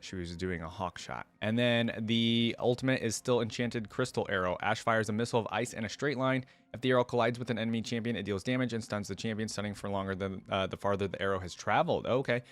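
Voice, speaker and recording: A man's voice: on the low side, fairly bright tone. A man giving casual, conversational reaction commentary on a video game, rambling with frequent asides.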